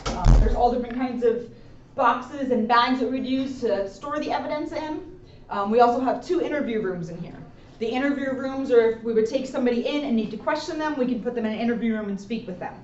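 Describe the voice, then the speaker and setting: High-voiced woman guiding a tour, roomy sound. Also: brisk. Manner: plain, explanatory, addressing viewers directly. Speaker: police officer giving a station tour. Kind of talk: informal guided tour narration. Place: indoor rooms and hallways of a police station. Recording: roomy, muffled, noisy, distant camera microphone.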